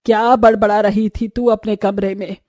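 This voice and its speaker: A woman's voice: medium pitch, flat delivery, moderate pace, warm tone, no room sound, studio quality, lightly acted. A woman playing a stern, demanding older mother-in-law in a cartoon family story, scolding as she talks. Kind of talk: Hindi cartoon story acting.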